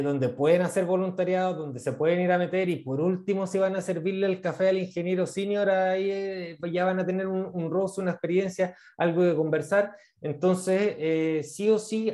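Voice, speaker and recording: A man in his thirties with a Chilean accent, giving casual advice on a video call, fast and full of fillers and repeated words. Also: high voice, warm tone. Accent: Chilean Spanish. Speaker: guest speaker on international internships. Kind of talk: casual advice in an online talk. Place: small room indoors. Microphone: video call, earphone mic.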